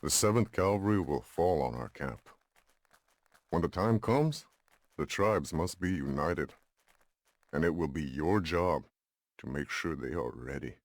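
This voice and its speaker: An older lakota uncle in a game, really really deep voice, slow solemn wisdom, dry recording. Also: male voice, animated, dry recording, heavily acted. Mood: grave, resolute. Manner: grave, deliberate, proverbial. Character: wise, resolute older Lakota uncle and leader. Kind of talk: scripted game cutscene acting.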